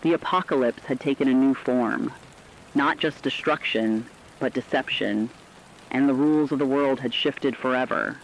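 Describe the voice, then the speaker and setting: A man delivering scripted apocalyptic horror narration in a grave, measured storytelling manner. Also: mid-range voice, gravelly, slight background noise, low-quality recording.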